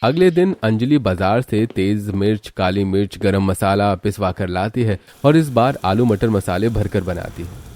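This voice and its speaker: Mellow male cartoon story narrator. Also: fairly low pitch, lively intonation, unhurried, few pauses. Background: background music.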